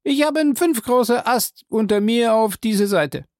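A reader voicing a frightened male servant who shouts down from a tree in broken, pleading speech. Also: medium pitch, expressive, measured pace, no room sound, clean studio sound, pitched above the performer's own voice, natural voice. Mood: eager. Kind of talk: audiobook character voice.

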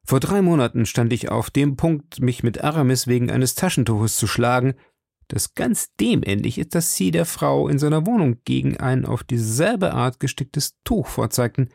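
An eager young gallant in his teens, voiced by the narrator, lifted in pitch and persistent. He speaks in formal, ardent storybook dialogue with a husky edge. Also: expressive, moderate pace, a little mellow, no echo, clean studio sound. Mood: pressing. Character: ardent, insistent young gallant man, not yet twenty. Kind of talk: audiobook character dialogue.

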